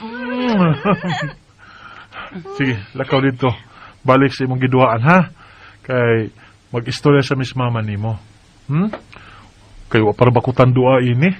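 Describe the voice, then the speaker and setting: A man's voice: medium pitch, expressive, takes it slowly, long pauses. Radio drama suitor, male, twenties, Cebuano accent: tender, playful, over music, lo-fi sound. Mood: playful, gentle. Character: tender, playful 28-year-old man courting a single mother. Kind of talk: Bisaya radio drama acting and letter narration. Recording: dull lo-fi audio with music bed.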